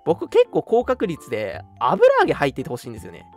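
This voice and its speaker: A young man with a mid-pitched voice that swings widely up and down as he chats. He co-hosts a casual radio show and laughs easily. Music plays clearly behind him. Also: wildly swooping pitch, a little fast. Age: young adult. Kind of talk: casual chat, reading listener comments. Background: background music.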